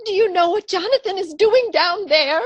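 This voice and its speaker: A woman's voice: very high-pitched, normal speed. A woman playing a fretful, fussy elderly aunt in a radio play, sounding worried.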